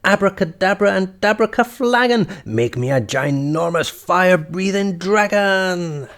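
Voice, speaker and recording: A man's voice: high-pitched, animated, measured pace, fairly bright tone, voice pushed up, full character voice. A man voicing a small, competitive boy wizard who chants spells dramatically in a storybook. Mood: desperate. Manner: dramatic, incantatory.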